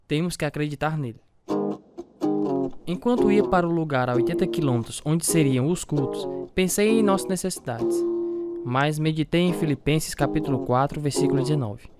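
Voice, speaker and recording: A man's voice: a little high, lightly acted. Earnest devout preacher over prominent music, acted by a man. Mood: earnest, trusting. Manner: earnest, pious. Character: devout, trusting male preacher telling his life story. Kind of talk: dramatized radio testimony with narration and dialogue.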